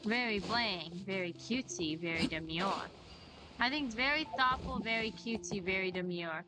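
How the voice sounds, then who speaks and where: A woman's voice: medium pitch, normal speed, rough recording. A woman giving casual, playful livestream commentary on a game, often repeating little catchphrases. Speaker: game streamer. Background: game music.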